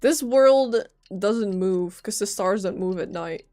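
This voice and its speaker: A woman's voice: medium pitch, slow pace, warm tone. A woman livestreaming a game with casual, reactive commentary, informally musing aloud as she plays. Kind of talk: casual live gameplay commentary.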